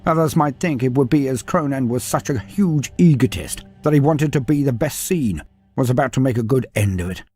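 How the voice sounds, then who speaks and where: A man reading a scripted story aloud with dramatic, expressive delivery. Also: mid-range voice, a little fast, husky, faint music behind. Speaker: narrator.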